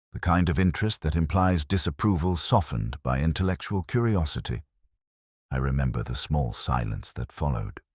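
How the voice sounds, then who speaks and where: Very deep, brooding detective inspector played by an actor. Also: male voice, breathy edge, no echo, studio quality, heavily acted. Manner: measured, reflective, literary delivery. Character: weary, razor-minded male police inspector, brooding and world-wise. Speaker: narrator. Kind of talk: scripted first-person detective audio drama narration.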